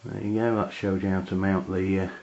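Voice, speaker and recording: A man with an English accent giving a casual hands-on product review in a halting, matter-of-fact way. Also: low-pitched, level tone, darkish tone. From England. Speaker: amateur radio reviewer. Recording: dull, lo-fi camera sound.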